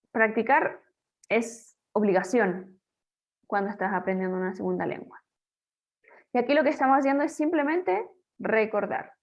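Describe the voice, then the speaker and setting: A woman's voice: fairly high pitch, muffled recording. Patient Spanish-speaking woman teaching English grammar. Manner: patient, explanatory, asks and answers own questions; slows down to model English example sentences. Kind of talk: recorded grammar lesson.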